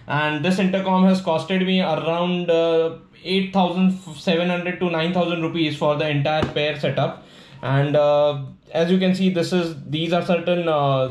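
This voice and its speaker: Higher-voiced Indian man in an echoey room. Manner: halting, explanatory, repeats himself. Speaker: vlogger presenting a product unboxing. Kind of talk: casual unscripted product demonstration. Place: indoors at a tabletop. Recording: echoey room with faint background noise.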